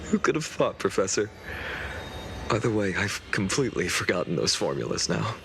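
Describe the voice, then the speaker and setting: A man voicing a gentle, earnest young heroic lord in a video game, soft and courteous. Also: lowish voice, very animated, normal speed, noisy background. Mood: respectful, fond. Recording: clear music under the voice. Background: game music.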